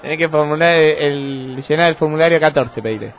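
A man playing a brusque, sarcastic office clerk with an Argentine accent, curt and deadpan, in an improvised radio comedy sketch. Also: a little high, fast talker, a faint crowd, natural voice. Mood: curt, mocking. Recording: dull, muffled live radio recording.

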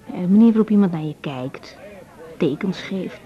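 A woman's voice: fairly low pitch, animated, moderate pace, close to an everyday voice. An actress playing a young, self-doubting woman doctor in a naturalistic radio drama, speaking hesitantly and frankly. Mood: frank, confiding. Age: young adult. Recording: dull 1980s radio recording.

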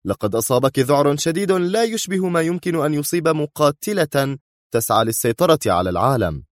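A sneering male spy as voiced by a man narrating in a thriller audiobook. He is tense and forceful, with a mocking, accusing edge. The recording is close and dry. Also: deep voice, expressive, a little fast, no echo. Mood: angry, accusing.

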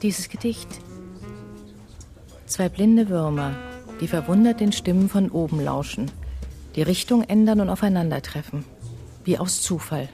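An actress playing an intense, enigmatic painter in a radio drama, speaking earnestly and intimately. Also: female voice, lowish voice, measured pace, pauses often, dark tone, faint music behind. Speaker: lead character, the writer's wife.

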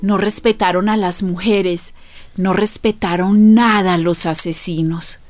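An actress playing a sorrowful witness, narrating a radio drama in a grave, testimonial way. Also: female voice, on the low side, steady tone, a little slow, over music, close to an everyday voice. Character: sorrowful female witness recounting a massacre.

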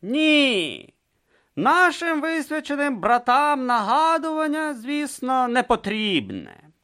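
A man's voice: very high-pitched, huge pitch swings, bright, forceful tone, smooth, no room sound, studio quality, pitched above the performer's own voice, full character voice. A man playing a stern cold inquisitor. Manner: slow, severe, pronouncing.

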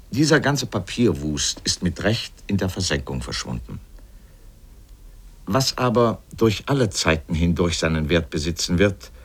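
An actor playing an erudite, opinionated literary critic who delivers a scripted radio essay with emphasis. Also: male voice, sits low, husky, exaggerated voice. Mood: dismissive. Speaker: essayist speaker in a radio feature.

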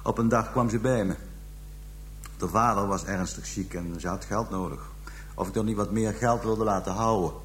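An actor playing a callous pimp making a halting, coarse confession to police in a radio drama. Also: male voice, lowish voice, fairly bright tone, a little hiss or hum, lightly acted. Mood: callous, scornful. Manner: halting, hesitant storytelling, coarse slang. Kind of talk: radio drama acting, interrogation scene.

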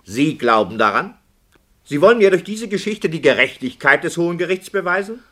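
Indignant, argumentative director, swinging intonation. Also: male voice, fairly high pitch, sing-song, fairly quick, fairly bright tone. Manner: emphatic, argumentative, formal diction. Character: indignant, argumentative male radio play director challenging injustice. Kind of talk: 1960s German radio play acting.

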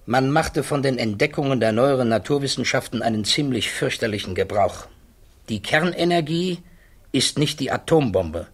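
A husky male voice of middle pitch as an embattled scientist at a security hearing. He is thoughtful and troubled, weighing each guarded answer with precision, in the manner of 1960s German radio drama. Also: lively intonation, moderate pace. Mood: troubled, grave.

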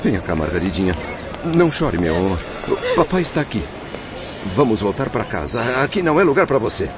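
A man's voice: lowish voice, big pitch swings, fairly quick, a crowd in the background, background noise. A man with a Brazilian accent playing an anguished, doting father and proud husband, melodramatic and imploring, in a radio drama. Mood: tender, pleading. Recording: muffled sound with music behind.

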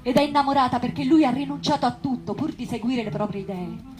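Actress as an impassioned high-voiced female storyteller. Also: steady tone, fast, a little mellow, soft background music, natural voice. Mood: stirring. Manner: lyrical, impassioned. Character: passionate female storyteller of a tragic love. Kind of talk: theatrical storytelling monologue.

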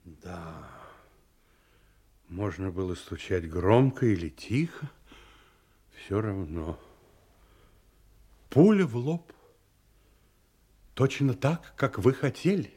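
An actor playing a grim, uneasy ship's mate in an audio drama, speaking in husky, hesitant, heavy broken phrases. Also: male voice, fairly low pitch, sing-song, slow, pauses often, warm tone, full character voice. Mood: grim, halting.

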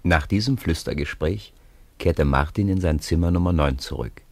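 A man narrating a 1950s radio play in a calm, measured storytelling manner. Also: sits low, no echo.

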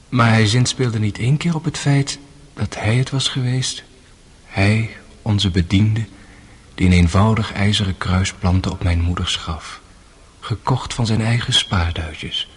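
Radio play: brooding narrator, lowish husky voice, measured, reflective. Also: male voice. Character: brooding man narrating his family's murder trial.

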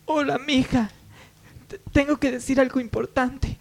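A woman's voice: high voice, steady tone, unhurried, gravelly, darkish tone, heavily acted. A woman playing an anxious middle-aged mother in a parody radio soap, grave and melodramatic. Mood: worried.

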